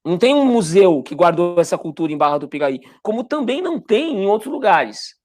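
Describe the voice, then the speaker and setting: A man's voice: sits high, big pitch swings, a little fast. A man hosting a live-streamed interview. He explains things reflectively and asks rhetorical questions. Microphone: video call.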